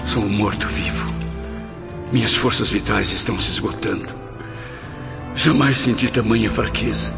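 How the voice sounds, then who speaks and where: A gravelly, tormented spirit of a man, worn out yet still proud and sharp-tongued, as an actor plays him in a radio drama. His voice sits at a middle pitch with a rough fry. Loud music plays under a dull, lo-fi recording. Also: moderate pace, pauses often. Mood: exhausted. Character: weary anguished male suicide spirit, proud temper. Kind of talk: radio play acting.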